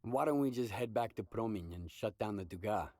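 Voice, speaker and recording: Low, laconic male stalker hero. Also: expressive, a little fast, dark tone, no room sound. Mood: businesslike. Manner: laconic, dry, self-assured. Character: tough, unflappable male mercenary stalker hero. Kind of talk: video game voice acting.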